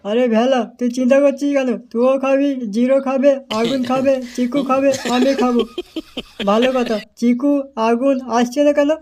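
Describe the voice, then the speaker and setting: A man voicing an excitable, bossy and loyal young friend in an amateur comedy cartoon, emphatic and colloquial. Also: very high-pitched, normal speed, faint music behind. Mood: lively, bossy.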